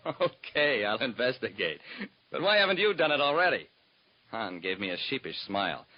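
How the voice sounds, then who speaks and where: A man's voice: medium pitch, sing-song, normal speed. An actor playing a private detective who narrates his own cases in wry, hard-boiled first person, in 1940s radio drama style, on a dull lo-fi old recording.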